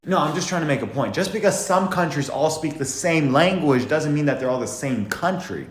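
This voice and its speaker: A man's voice: a little high, lively intonation, a little fast, reverberant, natural voice. A man playing a patient but exasperated witness who keeps explaining himself to a senator.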